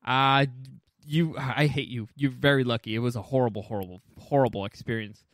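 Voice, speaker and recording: A man's voice: medium pitch, steady tone, brisk, fairly bright tone, no echo, clean studio sound. A man hosting a casual movie chat, opinionated and emphatic, swearing freely. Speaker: podcast host.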